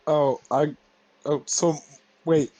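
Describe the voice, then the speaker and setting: A man's voice: a little high, fairly even intonation, slow, mellow. A man chatting casually as a player in a tabletop roleplaying game.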